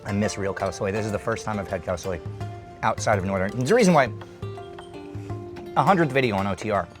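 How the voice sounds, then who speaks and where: A man in his thirties with a lowish voice hosts a food show, eating at an open-air stall and chatting eagerly about each dish. He wears a lapel mic, and soft music sits faintly under his talk. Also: a little fast. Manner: chatty, enthusiastic, explains dishes while eating. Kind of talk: casual food chat and explainer.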